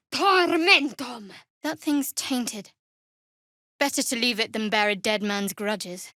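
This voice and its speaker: A woman voicing a guarded, sardonic young woman cleric in a fantasy video game, with a measured, dry delivery. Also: fairly high pitch, fairly bright tone, no echo. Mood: casting spells, then dry, reflective.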